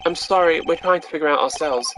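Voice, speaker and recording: A woman's voice: low voice, fast, noisy background, voice pushed down, close to an everyday voice. A woman voicing an earnest, wry young male defence attorney in an amateur video game voice-over, dry and a little hesitant. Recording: game music behind the voice.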